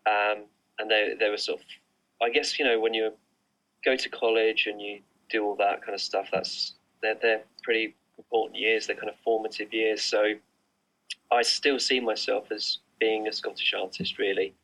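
Low, flat-voiced man, hesitant and rambling. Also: normal speed, poor audio. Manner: hesitant, rambling, many fillers and restarts. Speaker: artist, interview guest. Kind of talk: casual interview answers. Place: remote online recording.

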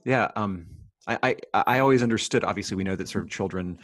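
A male host with a very deep voice leads a relaxed, curious conversation. The sound is dry and close, with no room around it. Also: fast, no echo. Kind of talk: casual conversation.

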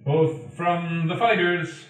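A man voicing a stern male arena announcer, proclaiming the rules in a loud, measured way. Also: on the high side, fairly even intonation, pitched above the performer's own voice. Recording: echoey room, far from the mic.

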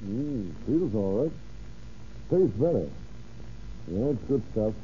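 A man's voice: medium pitch, big pitch swings, slow, dark tone. A confident American detective in a 1930s radio crime play. His talk is casual, clipped and tough. The recording is dull, with faint noise. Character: confident American male police detective undercover. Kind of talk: 1930s radio drama acting. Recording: muffled, noisy old radio recording.

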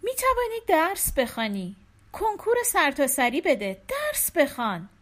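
A woman voicing a calm husband who breaks bad news gently, in a soft, measured way. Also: sits high, pitched above the performer's own voice, full character voice.

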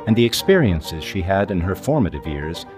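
An older man narrates a scripted documentary as podcast host. His voice sits very low and moves with animated intonation, measured and emphatic, over clearly audible music.

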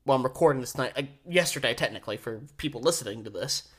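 A man hosting a solo sports podcast, giving conversational, opinionated commentary with emphatic stresses and deliberate pauses. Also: medium pitch, lively intonation, a bit bright, husky.

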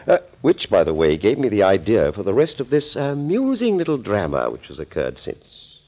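Male mad doctor mastermind for 1940s radio thriller: low voice, sweeping intonation, urbane and amused. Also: wildly swooping pitch, moderate pace, a little mellow. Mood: amused, gloating. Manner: urbane, amused, theatrical, mockingly courteous. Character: suave, gloating mad doctor, a master villain and impersonator. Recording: old scratchy 1940s radio recording.

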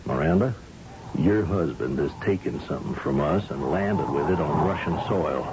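An actor playing a commanding, folksy governor of a space colony who questions shrewdly, in an old-time radio drama. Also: male voice, a bass voice, animated, moderate pace, a little mellow. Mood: brisk. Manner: folksy, probing, patronizing. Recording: dull, noisy old radio recording.

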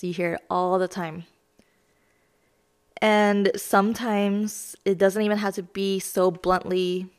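A woman in her thirties hosting a self-help lesson podcast, her voice raspy as she gets over being sick, talking in a chatty, self-deprecating, explanatory way. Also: on the low side. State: raspy voice from recent illness. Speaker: podcast host.

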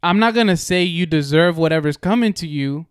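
A man's voice: on the high side, a little fast, clear, resonant tone, no room sound, studio quality. A young man co-hosting a casual podcast, talking bluntly with plenty of slang and swearing. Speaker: podcast co-host. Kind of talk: casual chat.

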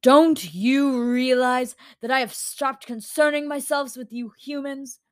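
A haughty male god-creature, acted by a woman narrator addresses humans with scorn. The delivery is slow, booming and imperious, as in a fantasy storybook, with a dry close sound. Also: dark tone, no room sound. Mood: scornful, angry.

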